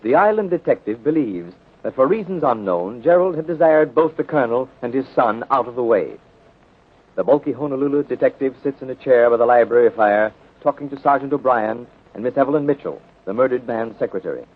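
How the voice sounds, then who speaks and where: Male announcer, mid-pitched and slightly rough, formal dramatic scripted narration, tinny vintage radio recording. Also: expressive, a little fast. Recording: tinny narrow-band 1930s radio recording.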